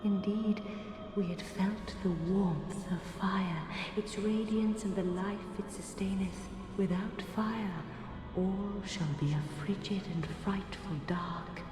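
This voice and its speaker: An actress playing a solemn, regal, devoted goddess in a fantasy game, with archaic, reverent, stately speech. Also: female voice, medium pitch, fairly even intonation, slow pace, lightly acted. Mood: solemn, beseeching. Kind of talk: theatrical fantasy video game acting. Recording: echoing hall reverb, music underneath.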